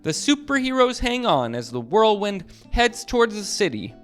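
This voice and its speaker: A man narrating a children's book aloud with expressive, dramatic emphasis. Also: sits high, normal speed, soft background music. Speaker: storybook narrator.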